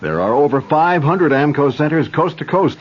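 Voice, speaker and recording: A man reading a brisk commercial tagline. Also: a little high, expressive. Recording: dull vintage radio broadcast with faint noise.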